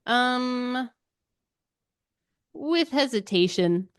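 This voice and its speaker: A woman's voice: on the high side, animated, very slow, clean tone, dry recording. Casual woman podcast co-host, close dry sound. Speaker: book club podcast co-host. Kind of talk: casual book chat.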